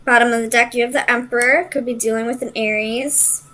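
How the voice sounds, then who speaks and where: A woman's voice: fairly high pitch, fairly even intonation, brisk, bright, forceful tone, poor audio. A woman doing an informal tarot reading, speaking straight to the listener and thinking aloud in loose, tentative phrases. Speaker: tarot reader and host.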